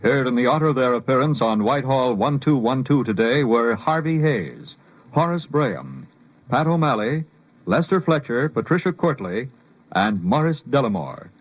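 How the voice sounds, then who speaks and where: A man's voice: medium pitch, very animated, moderate pace. A man announcing formally in 1950s network radio style, with careful enunciation. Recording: muffled 1950s radio broadcast.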